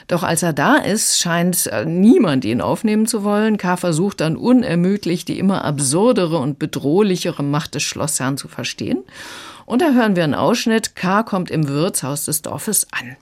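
Low-voiced woman reviewing audiobooks. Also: expressive, normal speed, a little mellow. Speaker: book reviewer. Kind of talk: prepared radio review in conversation.

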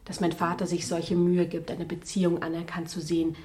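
A woman playing a proud, vexed young gentlewoman in a literary radio play, formal and indignant. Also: on the low side, monotone, fairly quick, close to an everyday voice.

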